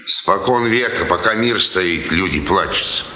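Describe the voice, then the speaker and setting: An actor playing a bitter, lovelorn tramp who broods in slow folk speech in a radio play. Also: male voice, deep voice, sharp tone, exaggerated voice. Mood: brooding. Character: bitter, lovelorn male tramp brooding over women's deceit. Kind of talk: theatrical Russian radio drama acting. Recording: dull, noisy, echoey radio play recording.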